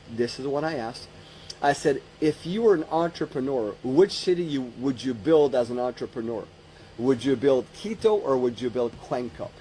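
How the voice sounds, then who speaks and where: A man's voice: mid-range voice, mellow, a little hiss or hum, low-quality recording. A man in his fifties giving an informal motivational business coaching talk, rambling conversationally and repeating phrases for emphasis. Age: 50s.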